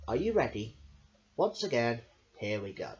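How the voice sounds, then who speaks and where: A young adult reading children's picture books aloud in an emphatic, deliberate way. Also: medium pitch, very animated, a little mellow. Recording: dull lo-fi camera sound.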